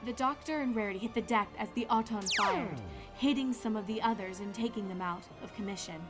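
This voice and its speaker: A woman's voice: fairly high pitch, brisk. A woman reading scripted story narration as the narrator of a fan fiction. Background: music.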